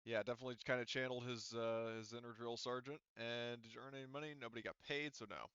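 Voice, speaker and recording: A man's voice: mid-range voice, steady tone, fast talker, a bit bright, clean tone, no echo, highs cut off. A man chatting casually as game master of a tabletop roleplaying game, teasing and swearing freely.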